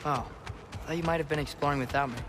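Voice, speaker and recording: A man's voice: a little high, steady tone, quick pace, background noise, close to an everyday voice. An actor playing an earnest, hopeful teenage boy adventurer in a video game. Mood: easygoing. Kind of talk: video game character acting.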